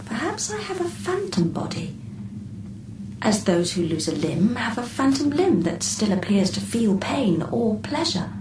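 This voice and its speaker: Echoing from a distance over noticeable noise, an enigmatic artificial intelligence in a radio play. The phrasing is formal and exact, yet the mid-pitched voice inflects with lively variation. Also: female voice. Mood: cool, enigmatic. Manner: formal, measured, precise phrasing. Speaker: actor in a radio drama. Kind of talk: radio drama acting. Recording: echoey, distant voice with background noise.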